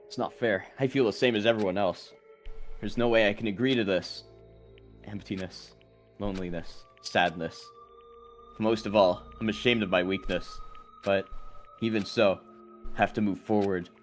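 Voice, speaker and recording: A man reading out the part of an earnest, anguished young male student in a mystery game, gravely and dramatically. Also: on the low side, steady tone, normal speed, long pauses, lightly acted. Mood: sad, ashamed. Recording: faint music behind.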